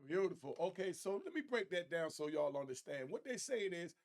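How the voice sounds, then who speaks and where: A man's voice: high-pitched, expressive, fairly quick. A man giving casual, off-the-cuff commentary in slangy, streetwise language, breaking things down in plain terms. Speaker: reaction commentator.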